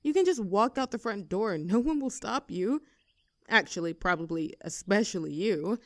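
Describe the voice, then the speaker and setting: A woman's voice: medium pitch, expressive, moderate pace, no echo, lightly acted. A woman playing a young, teasing mean-girl student in a scripted audio roleplay, with a mocking, sarcastic, haughty manner. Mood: daring, goading.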